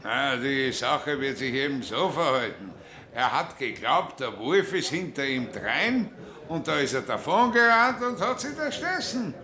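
Bright-voiced Austrian tavern regular. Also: male voice, mid-range voice, sing-song, unhurried. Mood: matter-of-fact. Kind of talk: radio drama acting.

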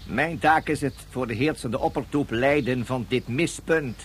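A man's voice: mid-range voice, normal speed, slight background noise, natural voice. A man playing a formal, apologetic and officious court official in a science fiction radio play. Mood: stern, reluctant. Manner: stiff, ceremonious, officious. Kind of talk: Dutch radio drama acting.

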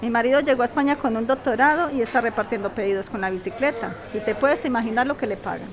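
A woman's voice: a little high, fast, over music, lightly acted. A woman acting a blunt, down-to-earth bar waitress in an audio drama. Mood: frank. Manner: frank, plain-spoken. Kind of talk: audio fiction podcast acting. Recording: bar crowd noise behind.

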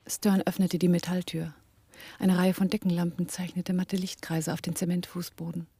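An actress playing the composed first-person narrator of a crime radio play, reading in a matter-of-fact storytelling style. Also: female voice, lowish voice, level tone, moderate pace, dark tone, a little hiss or hum, close to an everyday voice. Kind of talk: scripted radio drama narration.

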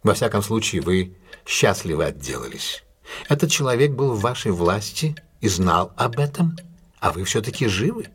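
A methodical gentleman detective from a classic mystery audio drama. His voice is fairly low and slightly husky. He lays out his points deliberately, with assured, formal courtesy. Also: sing-song, exaggerated voice. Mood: composed, confident.

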